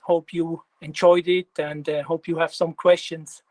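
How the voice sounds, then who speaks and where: A man in his fifties from Switzerland with a Swiss German accent, giving a technical presentation in an unhurried way, with many pauses and fillers. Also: fairly high pitch, level tone, no echo. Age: 50s.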